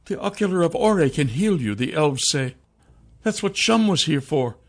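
A man's voice: fairly high pitch, lively intonation, normal speed, voice pushed up. A man voicing a concerned female companion in a fantasy audiobook, pleading and urging.

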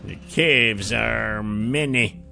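A man's voice: on the low side, very slow, creaky, sharp tone, faint music behind, full character voice. A man voicing a stern, stony male ranger who answers in short, clipped reports. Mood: grave.

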